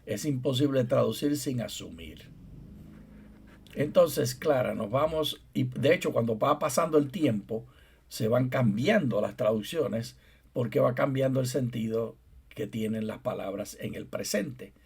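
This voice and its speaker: Middle-aged guy co-hosting a bible study show, husky voice, explains stuff and keeps things on track. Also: mid-range voice, lively intonation, a little slow, a little mellow. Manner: explanatory, teasing, steers the conversation back on track. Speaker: co-host, theologian and professor. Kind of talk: conversational Bible study program.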